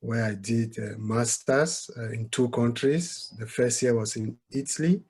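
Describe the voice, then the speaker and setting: Polite man from Cameroon, halting answers. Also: medium pitch, darkish tone, husky. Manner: polite, halting, with many uh fillers. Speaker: guest. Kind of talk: interview answers.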